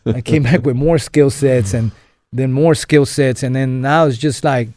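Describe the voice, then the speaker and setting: A man's voice: medium pitch, fairly even intonation, a little slow, a little mellow, no room sound, studio quality. A young man from Los Angeles, a podcast guest telling his story in a rambling way, full of fillers and restarts. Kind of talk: casual storytelling interview.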